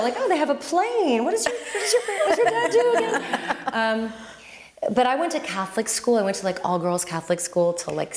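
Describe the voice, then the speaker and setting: A woman's voice: on the high side, background noise. A woman from Lebanon with a Lebanese accent, raised speaking Arabic, a guest telling her story in a reflective, casual way with fillers and restarts. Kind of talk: casual conversational interview.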